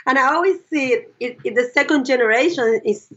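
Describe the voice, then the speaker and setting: A woman with a somewhat high, husky voice explains her ideas earnestly, often stopping to check that her listener follows. The sound is dull and a little lo-fi. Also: brisk, fairly bright tone. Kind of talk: conversational explanation.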